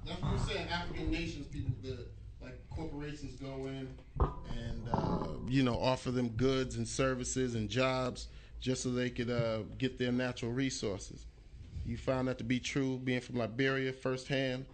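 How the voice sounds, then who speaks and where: A man's voice: mid-range voice, little pitch movement, moderate pace. A man hosting a casual live radio show, asking blunt, slangy questions.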